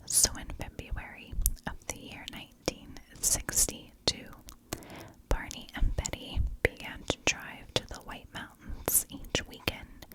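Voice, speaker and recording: Slow, fully whispering woman. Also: poor audio. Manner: hushed, deliberate narration. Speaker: ASMR true crime host. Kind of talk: ASMR whispered storytelling.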